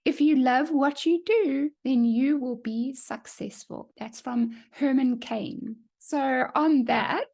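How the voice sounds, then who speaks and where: A woman's voice: high voice, slow, vocal fry, few pauses, darkish tone. A woman hosting a conversational interview. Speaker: host.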